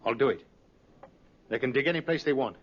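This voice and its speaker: An actor playing a surly, defensive chicken farmer in an old radio drama. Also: male voice, mid-range voice, a little fast, lightly acted. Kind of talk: 1950s radio drama acting. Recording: noisy muffled radio recording, farmyard fowl behind.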